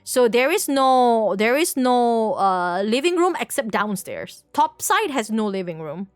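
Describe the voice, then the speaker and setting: A woman's voice: on the high side, very animated, a little slow, no echo, faint music behind. A woman livestreamer explaining things casually to viewers in a rambling, playful way, repeating words and correcting herself as she goes. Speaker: livestream host.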